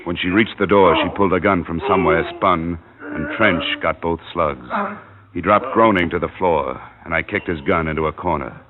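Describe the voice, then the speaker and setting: An actor playing a wry, tough insurance investigator in hard-boiled old radio style, deadpan and wisecracking. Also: male voice, low-pitched, moderate pace, lightly acted. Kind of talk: hard-boiled first-person radio narration and acting. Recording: tinny old radio recording.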